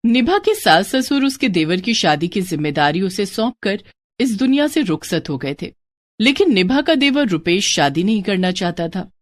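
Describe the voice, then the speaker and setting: Woman reading a scripted moral story, lively pitch, no room echo. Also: normal speed. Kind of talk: scripted Hindi moral bedtime story narration.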